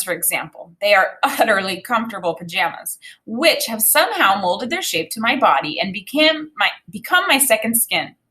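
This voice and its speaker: A woman in her thirties reading a children's book aloud as a teacher, expressive and deliberate, pausing to think aloud. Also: fairly high pitch. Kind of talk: read-aloud lesson with think-aloud commentary. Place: small indoor room with desk and bookshelf.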